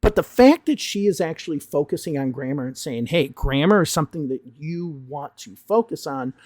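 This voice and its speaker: Man, slightly high voice, English teacher, emphatic reaction commentary, dry close sound. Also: no echo. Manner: emphatic, animated explaining.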